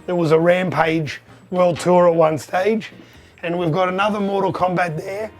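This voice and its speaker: A hoarse man who has lost his voice, giving a chatty, upbeat vlog tour of his arcade collection. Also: sits high, steady tone, normal speed, soft background music. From Australia. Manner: chatty, upbeat, pointing things out.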